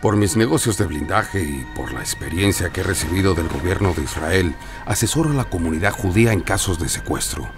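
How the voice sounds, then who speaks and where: Low-voiced, menacing, secretive security dealer. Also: male voice, lively intonation, brisk, hardly any pauses. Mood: boastful. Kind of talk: audio drama acting. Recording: music bed behind the voice.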